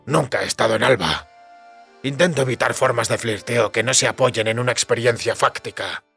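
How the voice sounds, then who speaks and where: A weathered monster hunter with a heavily creaking, gravelly voice. He is laconic and sardonic, delivering lines deadpan in an audio drama over music. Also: mid-range voice, fairly quick. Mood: deadpan, flirting. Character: dry, sardonic male witcher, weathered monster hunter and lover. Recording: music behind the voice.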